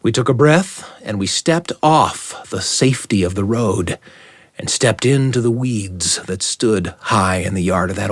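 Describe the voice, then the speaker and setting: A man telling a story as a radio host, with deliberate, suspenseful phrasing and dramatic repetition. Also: mid-range voice, dry recording, studio quality.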